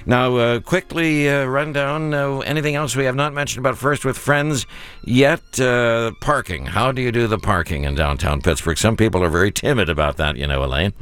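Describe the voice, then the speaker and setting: A man hosting a public radio fundraiser in the studio, chatting genially with guests and slowing down to read out the pledge number. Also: mid-range voice, a bit bright, smooth. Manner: genial, chatty, slows to repeat phone numbers. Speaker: radio host. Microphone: studio mic.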